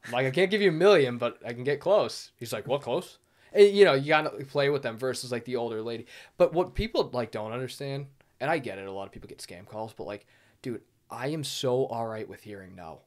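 A man's voice: mid-range voice, lively intonation, a little fast. A man in a casual podcast conversation, telling anecdotes and acting out phone exchanges as he goes.

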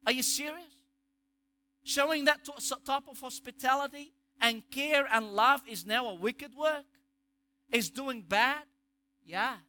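A man's voice: very high voice, sharp tone. A man with an Egyptian accent preaching a sermon, emphatic, asking rhetorical questions and restarting phrases. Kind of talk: sermon on scripture.

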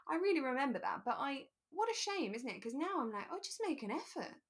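A woman's voice: high voice, big pitch swings, fairly quick. A woman chatting casually as a podcast co-host, often laughing as she talks. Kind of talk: casual chat about a radio drama.

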